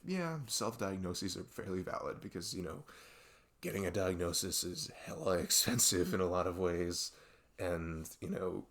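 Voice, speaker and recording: A man playing a young best friend who confides in a halting, rambling, exasperated way in an ASMR audio roleplay. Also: sits low. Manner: rambling, halting, exasperated sighs and nervous laughs. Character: young male best friend venting about arguing with parents.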